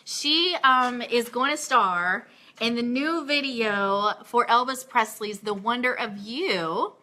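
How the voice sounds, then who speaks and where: A woman hosting a casual live radio show with gushing, excitable, chatty delivery, moving between chat and news items. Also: a little high, takes it slowly.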